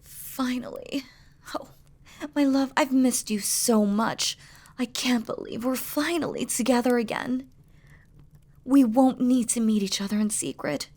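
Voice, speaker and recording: A woman acts out a demon queen in love, with a fairly high voice and a very unhurried delivery. She is tender and devoted, with regal, formal wording. Her speech is soft and breathy, often sinking to a whisper, as in an intimate romantic audio drama. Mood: tender, relieved. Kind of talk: scripted romantic audio roleplay, spoken intimately to the listener.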